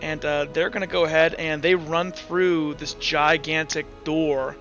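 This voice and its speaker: Male game master: casual improvised narration, bright forceful tone, fairly high pitch, faint music behind. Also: muffled recording. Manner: casual, halting, animated storytelling.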